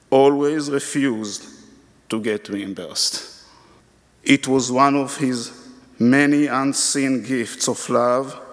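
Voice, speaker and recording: A man speaks slowly and earnestly, delivering a measured eulogy at a memorial service. His mid-pitched voice carries through a church sanctuary with a long reverb tail. Each phrase is unhurried and deliberate. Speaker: church member giving a eulogy. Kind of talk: prepared memorial tribute. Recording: reverberant hall.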